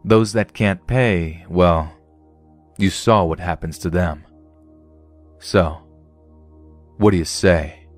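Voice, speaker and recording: Guy reading as a smug teen bully, deep slow sneer, soft music under. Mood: menacing. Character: menacing teenage male bully leader, smug.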